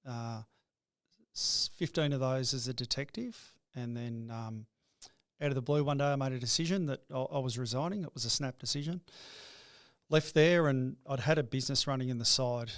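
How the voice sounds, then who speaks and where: A man's voice: medium pitch, clean tone, dry recording, studio quality. A middle-aged man, a business owner talking through his life story in an interview, often stumbling and repeating words as he starts a thought. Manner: halting, repeats words when starting sentences. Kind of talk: reflective interview talk.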